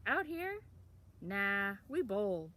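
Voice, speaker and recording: A woman's voice: mid-range voice, sing-song, extremely slow, bright, forceful tone, exaggerated voice. A woman voicing a cocky, teasing teenage town boy who talks in a brash, slangy drawl.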